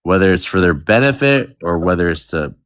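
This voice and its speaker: A man's voice: sits low, lively intonation, fairly quick, a bit bright, smooth, dry recording. A man hosting a live online panel discussion, steering the talk and often checking in with "right?". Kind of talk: casual moderated discussion.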